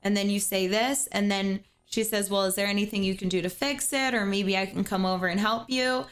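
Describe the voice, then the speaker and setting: A woman's voice: mid-range voice, steady tone, fairly quick. Earnest woman streamer giving advice. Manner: earnest, repeats words as she thinks. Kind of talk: casual advice chat on a livestream.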